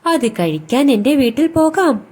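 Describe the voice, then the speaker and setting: A scheming male crocodile from a bedtime storybook, played by a woman. He talks slowly and coaxingly, with big swoops of pitch, pretending to be a good friend. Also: sing-song, a little mellow. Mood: coaxing, friendly. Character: sly male crocodile, friendly on top, treacherous underneath.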